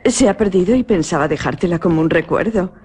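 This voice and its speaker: A woman's voice: on the low side, animated, fast talker, a little mellow, a little hiss or hum, close to an everyday voice. Woman doing a teasing spanish noble girl, voice jumps around a lot, kinda mocking. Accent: Spain. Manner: playful, mocking. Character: young noblewoman, teasing and coolly ironic. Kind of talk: radio drama acting.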